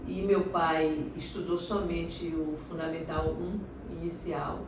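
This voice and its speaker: A middle-aged woman from Salvador, telling her life story in a slow, reflective interview. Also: low voice, steady tone, far from the mic. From Salvador, Bahia, Brazil. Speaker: interviewee, doctorate holder. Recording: echoey room, recorded from afar, noisy.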